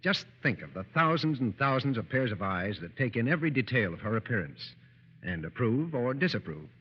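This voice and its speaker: A man's voice: mid-range voice, very animated, a little fast, husky. A man delivering a scripted announcement in vintage radio announcer style. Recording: muffled old radio, long hall reverb.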